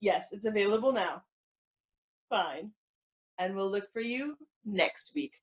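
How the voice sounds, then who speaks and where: A woman playing a genteel, brisk librarian who hosts radio mysteries, polite and theatrical. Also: mid-range voice, animated. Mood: brisk, teasing. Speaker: host narrator. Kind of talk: vintage radio mystery hosting. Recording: dull lo-fi recording under music.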